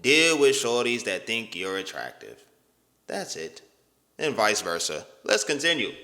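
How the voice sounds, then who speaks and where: A man hosting a reaction video in casual, slangy talk, incredulous and animated, protesting loudly. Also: a little slow, echoey room, long reverb tail. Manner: animated, incredulous, slangy, pitch jumps high when protesting.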